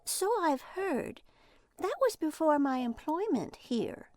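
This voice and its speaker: An actress playing a curt, disapproving librarian in an audio drama, prim and dismissive. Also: female voice, high-pitched, sing-song, slow, darkish tone, no room sound, full character voice.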